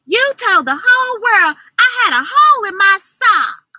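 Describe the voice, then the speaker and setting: A hurt young schoolgirl, played by a woman reading a storybook, indignant and scolding. The voice is high, very bright and strongly swooping, with emphatic delivery. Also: sing-song, normal speed, heavily acted.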